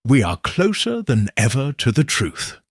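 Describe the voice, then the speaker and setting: A man voicing a calm, incisive middle-aged detective who speaks in a measured, assured way. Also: medium pitch, no room sound, studio quality, pitched above the performer's own voice, exaggerated voice. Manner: measured, deliberate, quietly assured.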